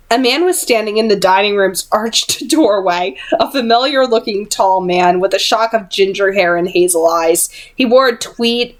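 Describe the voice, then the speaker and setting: Excitable higher-voiced woman reading aloud. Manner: excitable, giggly asides. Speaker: amateur reader of a novel aloud. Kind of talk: book read-aloud with chatty reactions.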